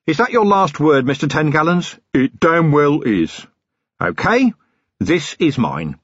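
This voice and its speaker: A tough, clipped police commander, put on by a male reader. Orders come out brisk and dry, with a lively rise and fall. The voice has a husky grain, recorded close and dull. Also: on the high side, no room sound, voice pushed up, exaggerated voice. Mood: deadpan.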